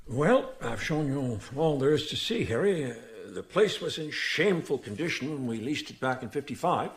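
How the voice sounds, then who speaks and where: An actor playing a worried, candid manager of a struggling theater in a radio mystery, talking in a chatty, slightly hesitant way. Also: male voice, mid-range voice, sing-song, moderate pace. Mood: rueful, chatty. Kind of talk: radio mystery drama acting.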